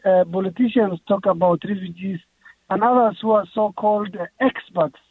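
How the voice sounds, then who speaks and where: A young man talks earnestly as a radio guest. He often restarts his phrases and speaks in a fairly high voice. His voice comes through a tinny, husky phone line. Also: no echo. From Somalia. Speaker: author guest. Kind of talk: radio interview.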